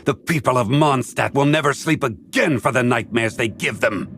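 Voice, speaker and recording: A man playing a menacing, vengeful villain in a video game, with a gravelly voice. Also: medium pitch, normal speed, background noise, close to an everyday voice. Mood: threatening. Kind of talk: video game character acting.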